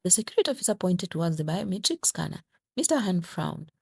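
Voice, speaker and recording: Female narrator for crime audio drama: husky, gravelly edge, scripted dramatic storytelling. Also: fairly low pitch, big pitch swings, quick pace.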